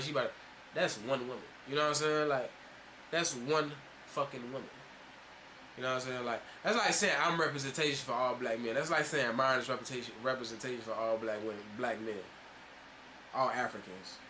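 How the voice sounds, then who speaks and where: A man's voice: mid-range voice, fairly even intonation, a little fast, sharp tone, a little hiss or hum. A young man giving blunt, profane reaction commentary, often saying "you know what I'm saying". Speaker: reaction streamer.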